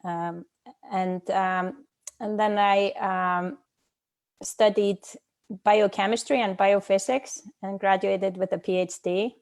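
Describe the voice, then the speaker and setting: A middle-aged woman from Iceland talks as a guest over a video call. Her pitch sits in an ordinary middle range for a woman. She speaks thoughtfully and hesitantly, pausing often with fillers as she gathers her words. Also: steady tone, a little mellow. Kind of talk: casual personal talk.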